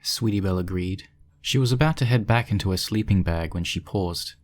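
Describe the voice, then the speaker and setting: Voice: deep voice, brisk, darkish tone. A narrator reading a scripted fan fiction story aloud.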